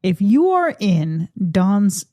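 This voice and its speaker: A woman's voice: mid-range voice, very animated, slow, warm tone, no room sound, clean studio sound. A woman co-hosting a casual film discussion, dropping wry, pointed remarks. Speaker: podcast co-host reviewing a horror film.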